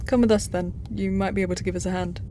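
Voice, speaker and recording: An actress playing a sincere, good-natured starship engineer in a sci-fi audio drama. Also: female voice, mid-range voice, fairly even intonation, fast talker, dark tone, slight background noise, natural voice. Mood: friendly.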